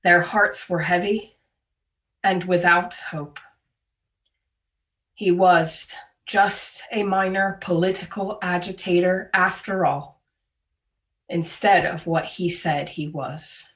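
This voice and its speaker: A woman speaks from some distance off the microphone, delivering solemn scripted prayer and reflection. Her voice sits a little low and moves extremely slowly, each short phrase given grave weight. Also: fairly even intonation, fairly bright tone. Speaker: worship leader. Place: online video call.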